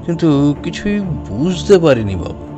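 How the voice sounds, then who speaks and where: A man playing a humble, nervous umbrella repairman in an audio drama, speaking deferentially and haltingly. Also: fairly high pitch, big pitch swings. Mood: earnest, recalling. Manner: hesitant, deferential, halting with drawn-out pauses. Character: humble, nervous street umbrella repairman recounting what he saw. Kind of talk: audio drama character acting. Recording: music behind the voice.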